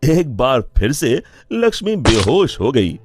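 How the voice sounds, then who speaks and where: Guy narrating a dramatic cartoon tale, very expressive pitch, takes his time. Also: takes it slowly. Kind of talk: dramatic scripted story narration. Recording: music under the voice.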